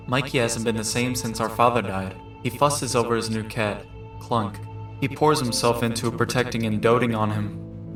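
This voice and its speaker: A man voices a thoughtful teenage mutant turtle from a comic, musing in an earnest, lonely monologue. His mid-pitched voice sounds echoey and set back from the mic, with music clearly playing behind. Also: lightly acted. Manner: earnest, reflective. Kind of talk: introspective scripted monologue. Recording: roomy, distant mic under loud music.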